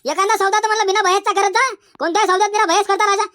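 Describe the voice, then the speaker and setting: Squeaky cartoon bazaar haggler, folksy and insistent, very high and bright, dry close sound. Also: fairly even intonation, racing, no room sound. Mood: firm haggling. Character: haggling, bantering cartoon chilli-market traders. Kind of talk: comedic cartoon dialogue in colloquial rural Marathi.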